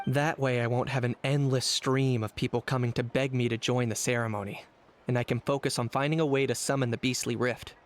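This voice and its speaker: A man playing a cool, pragmatic young hunter for hire in scripted game dialogue, measured and matter-of-fact. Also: mid-range voice, fairly even intonation, slight background noise, close to an everyday voice. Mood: cool, businesslike. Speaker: game character voice actor. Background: game background music.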